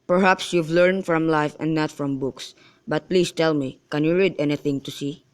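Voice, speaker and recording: A man's voice: on the high side, fairly even intonation, normal speed, clear, resonant tone, natural voice. A man playing a polite, simple household servant in an amateur radio drama, speaking courteously.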